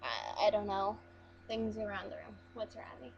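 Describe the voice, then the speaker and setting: A young woman from Las Vegas talks casually to her camera, hesitant and full of small restarts. Her voice sits at an ordinary pitch. The recording is a bit muffled, with a faint hum underneath. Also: normal speed. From Las Vegas, USA. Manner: chatty, hesitant, many ums and restarts. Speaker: vlogger. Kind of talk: casual accent challenge video.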